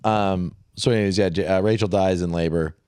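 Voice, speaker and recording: A man's voice: sits low, steady tone, a little fast, a little mellow, smooth, dry recording, studio quality. A man co-hosting a podcast, talking over Bible stories in loose casual chat, full of "like"s and trailing restarts. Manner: rambling, with many "like"s and trailing restarts. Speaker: podcast co-host.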